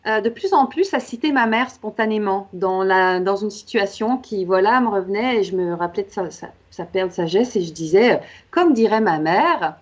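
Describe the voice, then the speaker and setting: A woman's voice: mid-range voice, expressive, normal speed, few pauses. Woman in her fifties, French accent, dull line. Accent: French, from France. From Québec. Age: 50s. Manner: reflective, fluent with many fillers. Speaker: author guest. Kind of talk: casual interview talk.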